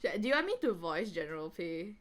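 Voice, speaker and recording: A woman's voice: fairly low pitch, sing-song, fast talker. A woman co-hosting a reading podcast, chatting casually and jokingly in a giggly, rambling way. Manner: giggly, rambling, heavy use of "like". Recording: faintly noisy home recording.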